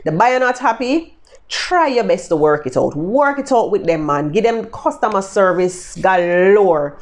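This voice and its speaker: Jamaican woman, vlogger, chatty and upbeat, very expressive pitch, talks straight to camera. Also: unhurried. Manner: chatty, upbeat, addresses viewers directly with endearments. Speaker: vlogger giving freelancing tips. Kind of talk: casual tutorial to viewers.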